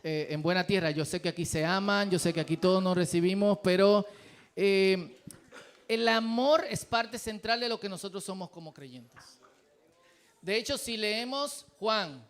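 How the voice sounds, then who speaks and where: A man's voice: high-pitched, unhurried. A man with a Dominican accent preaching a sermon in a church, in a conversational, humble way with frequent fillers. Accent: Dominican Spanish. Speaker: pastor.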